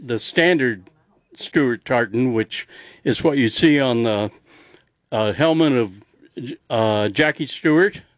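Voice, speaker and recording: A man with a mid-pitched voice chats casually on the radio. He is coy and teasing, and the sound is close and dry. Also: slow, dry recording. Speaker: radio show contributor.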